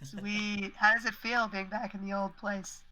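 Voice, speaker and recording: A slightly hoarse woman in her late twenties, co-hosting a casual show with cheerful, brief remarks. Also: medium pitch, little pitch movement, unhurried, pushed and bright, poor audio. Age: 20s. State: a little hoarse. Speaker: co-host. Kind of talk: casual chat and short comic segment.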